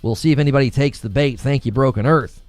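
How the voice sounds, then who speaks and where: A man hosting a livestream in a casual, slangy, conversational way, reading out viewer messages and shout-outs and repeating himself for emphasis. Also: medium pitch, fast talker, no echo, soft background music. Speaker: livestream host.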